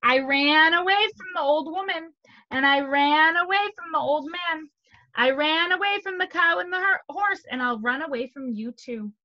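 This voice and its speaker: A woman's voice: high-pitched, moderate pace, dull audio, voice pushed up, natural voice. A woman voicing a boastful little male gingerbread man from a storybook in a taunting, sing-song chant. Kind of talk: read-aloud storybook character.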